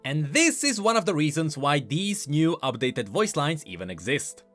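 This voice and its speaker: A male YouTuber explains game lore in a fairly high voice with very lively swings of pitch. His delivery is scripted and emphatic, with light music behind him. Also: normal speed. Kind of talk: scripted commentary on game voice lines.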